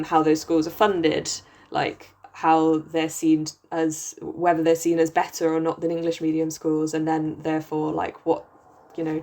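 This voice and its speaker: Low, flat-voiced young Welsh woman. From Wales. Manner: relaxed, lots of ums and likes. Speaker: podcast co-host, PhD researcher. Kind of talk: casual chat.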